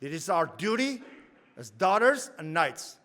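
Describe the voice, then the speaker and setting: A man's voice: high voice, big pitch swings, a little slow, a bit bright. A man giving an emphatic, rallying address at a community lodge meeting. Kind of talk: formal address.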